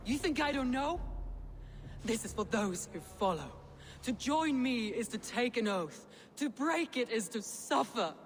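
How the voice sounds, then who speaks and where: An actress playing a stern, grieving Viking clan leader in a video game, solemn, formal and commanding. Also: female voice, fairly high pitch, lively intonation, measured pace, slight background noise. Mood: grim, hurt. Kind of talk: dramatic game cutscene acting.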